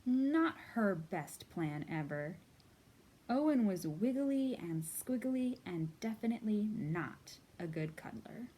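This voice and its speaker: A woman hosting storytime for young children, reading and talking to them in simple, expressive phrases. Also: medium pitch, takes it slowly, a little hiss or hum, rough recording. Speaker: children's library storytime host. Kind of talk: storytime read-aloud and singalong for young children.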